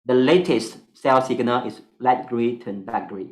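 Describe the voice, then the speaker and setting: A Singaporean man whose first language is Mandarin, leading a stock class in slow, hesitant English. He fills pauses with "uh" and fragments, at a middle pitch, and the sound is echoey. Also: darkish tone. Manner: halting, many fillers, broken English. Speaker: stock analysis teacher. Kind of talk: online class lecture.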